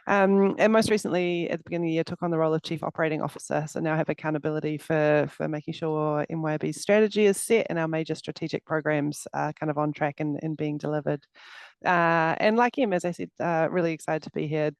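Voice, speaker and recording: A woman's voice: sits low, steady tone, quick pace, clean tone, no room sound. A middle-aged woman with a New Zealand accent, introducing herself as a competition judge in a chatty, friendly way on a video call. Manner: friendly, chatty, with frequent fillers. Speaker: competition judge, business executive.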